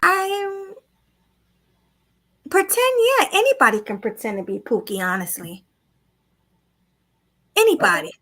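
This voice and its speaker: Soft-spoken bright-voiced woman. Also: fairly high pitch, measured pace, pauses often. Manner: soft-spoken, candid, animated when telling a story. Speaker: podcast host. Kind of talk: casual chat.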